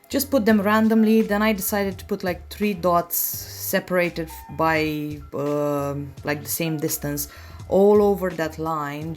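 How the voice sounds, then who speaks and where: A woman with a non-native English accent talks over faint music in a low-pitched voice. She hosts a nail art tutorial in a casual, chatty way, with a self-deprecating and apologetic manner. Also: darkish tone. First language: not English.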